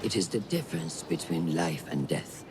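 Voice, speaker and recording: An actor playing a stern, seasoned assassin mentor in a video game, measured and exacting in delivery. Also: male voice, sits low, expressive, background noise. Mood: stern, challenging. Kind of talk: dramatic video game acting.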